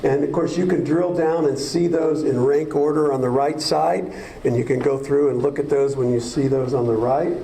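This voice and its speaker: A man's voice: mid-range voice, fairly even intonation, normal speed, mellow, slight background noise, poor audio. A man presenting district data to a school board, explaining at length with frequent fillers and restarts.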